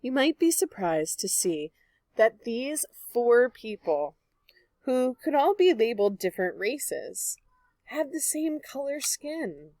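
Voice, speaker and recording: A woman reading a picture book aloud like a teacher, in a clear, expressive manner meant for young children. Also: a little high, slow, no echo, low-quality recording. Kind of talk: children's read-aloud narration.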